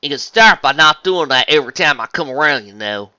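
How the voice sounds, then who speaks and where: A man's voice: a little high, animated, fairly quick, sharp tone, dry recording, dull audio, voice pushed up. A man voicing a plain-spoken, hearty young female farm pony in a story, with a folksy Southern US twang and drawl. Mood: easygoing.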